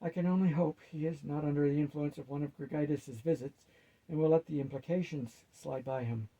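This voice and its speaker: A proper storybook knight voiced by a male reader, mellow and husky. He talks formally, with a wry, old-fashioned turn of phrase. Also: on the high side, fairly even intonation, brisk, rough recording. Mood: wry concern.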